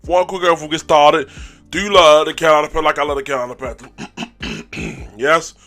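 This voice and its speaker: A man hosting a sports fan channel, giving a casual game recap in a hoarse, raspy voice, with hyped call-and-response and frequent throat clearing. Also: fairly high pitch, big pitch swings, fairly quick, sharp tone, faint music behind.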